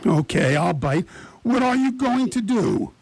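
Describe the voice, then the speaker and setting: A man playing a nervous, vain, fussy schemer in a radio play, with a raspy breathy voice and a dithering, dramatic manner. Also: high voice, sing-song, a little slow, a little mellow, heavily acted. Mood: flustered, prissy. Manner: dithering, dramatic self-questioning, dropping into whispers. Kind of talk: radio theatre acting.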